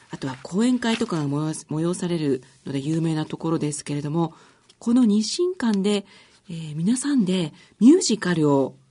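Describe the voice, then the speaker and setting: Female radio host: soft-toned and slightly husky, courteous, formal presenting and interviewing. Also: on the low side. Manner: polite, formal.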